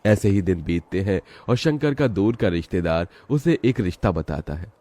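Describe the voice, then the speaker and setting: Deep-voiced male story narrator. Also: moderate pace, slight background noise. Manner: steady, dramatic storytelling.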